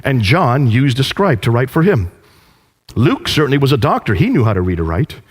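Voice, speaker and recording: A man preaching with a lively, swooping pitch and emphatic rhetorical questions. His voice is a little husky, and it rings out with a long hall reverb. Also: normal speed. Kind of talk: preaching lecture.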